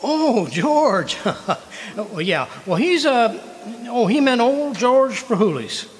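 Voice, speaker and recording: A man's voice: very high voice, very animated, takes it slowly, slight background noise, exaggerated voice. A man playing a blustering, fibbing, folksy husband in old-time radio comedy, stammering when cornered. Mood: flustered. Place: live stage show in a community hall. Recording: reverberant hall stage performance.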